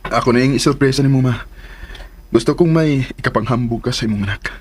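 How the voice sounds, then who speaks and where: A man's voice: mid-range voice, fast, a little mellow, breathy edge, natural voice. An actor with a Filipino accent playing an earnest, determined adult son confiding in his mother in a radio drama. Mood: sincere, confiding. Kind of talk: Philippine radio drama acting. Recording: background noise.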